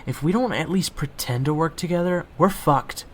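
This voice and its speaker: A man's voice: a little high, normal speed, slight background noise. A young man performing an audio roleplay in a casual, wry manner.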